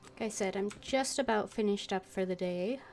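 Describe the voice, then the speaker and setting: A woman streams a game in a low-key, unhurried way, chatting casually as she plays. Her pitch is average, and game music plays behind her voice. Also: darkish tone. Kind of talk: casual live game commentary, reading dialogue aloud.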